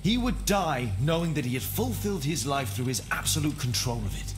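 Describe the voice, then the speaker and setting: An actor playing a composed, persuasive Victorian detective in a video game, with an English accent and a measured, formal, articulate delivery. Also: male voice, a little high, expressive, close to an everyday voice. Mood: earnest persuasion. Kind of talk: dramatic video game acting. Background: loud dramatic music.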